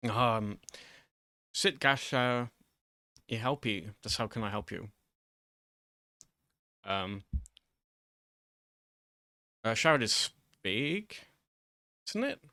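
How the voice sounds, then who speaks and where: Hesitant man with a bright voice. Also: lowish voice, lively intonation, very slow, long pauses, dry recording, clean studio sound. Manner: hesitant, rambling, self-deprecating. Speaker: online video host. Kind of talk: informal, improvised language lesson.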